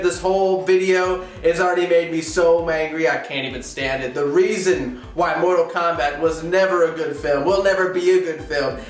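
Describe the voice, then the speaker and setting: A man co-hosting a gaming show, giving casual, opinionated film commentary in a slangy, ranting way. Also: high-pitched. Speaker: co-host of a gaming review show. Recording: music playing under the voice. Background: music.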